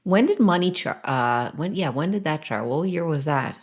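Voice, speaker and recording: A woman's voice: deep voice, expressive, moderate pace. A woman co-hosting a music podcast in casual, conversational discussion.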